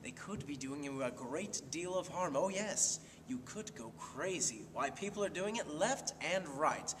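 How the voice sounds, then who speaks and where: A man's voice: on the high side, expressive, measured pace. An exasperated, sardonic husband played by a man mocks theatrically, his voice swinging widely up and down. The amateur stage performance is caught by a distant mic in a noisy, reverberant hall. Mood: loud mocking. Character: sarcastic, exasperated husband mocking his wife's fad. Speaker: amateur actor. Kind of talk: amateur stage-play rehearsal.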